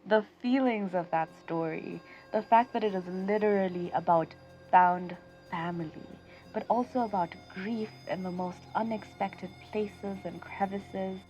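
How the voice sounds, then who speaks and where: A woman's voice: medium pitch, unhurried, a little mellow, lo-fi sound, faint music behind. A woman with a Kenyan accent hosting a fiction podcast, talking expressively and stretching words for emphasis. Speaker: podcast host. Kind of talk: warm outro chat.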